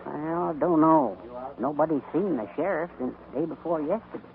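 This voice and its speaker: A man's voice: fairly high pitch, wildly swooping pitch, normal speed, gravelly, a crowd in the background, heavily acted. An actor playing a nervous, frightened barkeeper in a radio western, folksy and hesitant in the way he talks. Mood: anxious warning. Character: jumpy, frightened frontier barkeeper. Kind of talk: 1950s radio western acting. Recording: tinny old radio transcription.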